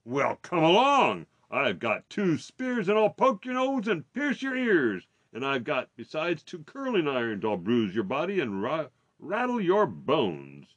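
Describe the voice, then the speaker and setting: Big storybook billy goat, male reader acting: hoarse, loud, heavy, defiant, very animated. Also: fairly high pitch, measured pace, hardly any pauses, dry recording, voice pushed up, full character voice. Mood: defiant, fierce. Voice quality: hoarse, ugly voice.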